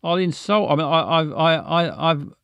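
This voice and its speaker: A man's voice: on the high side, moderate pace, vocal fry, a bit bright, clean tone, no echo, muffled recording. Rambling man hosting a stream. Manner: stammering, rambling, self-mocking. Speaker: livestream host. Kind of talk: casual chat with viewers.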